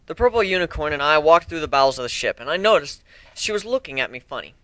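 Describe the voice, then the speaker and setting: A man narrating a fan fiction story as an amateur dramatic reading, with expressive delivery. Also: a little high, fast, dry recording.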